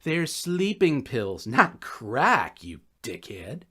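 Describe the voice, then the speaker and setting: A man's voice: mid-range voice, sing-song, slow pace, pushed and bright, husky, full character voice. A man voicing a laid-back, dismissive young college druggie in a narrated story, drawling and offhand.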